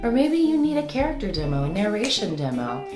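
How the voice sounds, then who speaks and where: A woman's voice: medium pitch, very animated, a little fast, reverberant, music in the background. A woman hosting an upbeat instructional vlog, talking informally and playfully with theatrical, sing-song flourishes. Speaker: host and voice-over coach.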